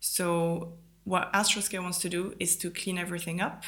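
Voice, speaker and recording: A woman's voice: lowish voice, flat delivery, normal speed, fairly bright tone. A young French woman who grew up in Italy, a native French speaker, explaining her work as an interview guest. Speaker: podcast guest, ground systems engineer.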